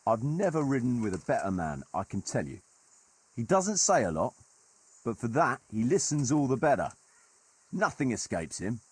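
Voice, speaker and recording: An actor playing a gruff, wry medieval nobleman turned mercenary leader in a video game, telling stories in colloquial, old-fashioned turns of phrase with a husky voice. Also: medium pitch, big pitch swings, normal speed, no room sound. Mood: wry, admiring. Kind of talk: dramatic storytelling in a video game cutscene.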